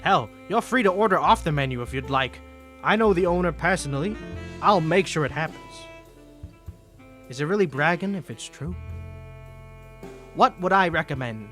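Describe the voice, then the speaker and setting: A man's voice: on the high side, expressive, normal speed, long pauses, a bit bright, faint music behind. A man playing a suave, flirty mafia boss in a cartoony 1950s voice, speaking politely and self-assuredly in a scripted roleplay. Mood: smooth, self-assured.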